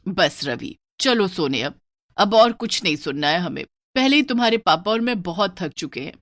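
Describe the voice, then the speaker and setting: A woman playing a firm, sensible mother of grown children in a horror story, admonishing calmly. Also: fairly low pitch, moderate pace, no room sound, clean studio sound. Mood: weary firm.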